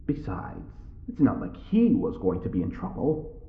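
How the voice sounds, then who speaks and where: An actor playing a smug, well-bred prisoner with a sly wit, speaking in an arch, mock-polite drawl in an audio drama. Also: male voice, on the low side, wildly swooping pitch, moderate pace, roomy sound, narrow, tinny audio, soft background music. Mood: evasive. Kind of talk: scripted audio drama acting.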